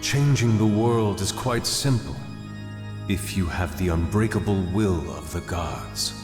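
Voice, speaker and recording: A solemn, godlike villain played by an actor in an anime game, grave and commanding. His low voice moves very slowly, ringing with long reverb over music. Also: natural voice. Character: solemn, godlike male villain preaching pain. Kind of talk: anime game cutscene dub acting. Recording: echoing hall reverb.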